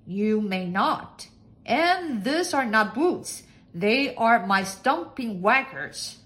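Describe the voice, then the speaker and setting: A woman's voice: fairly high pitch, animated, slow pace, fairly bright tone, voice pushed up. A woman voicing a pompous, bossy, eccentric lord in a storybook, speaking in an emphatic, commanding way. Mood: haughty, correcting. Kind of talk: storybook character acting.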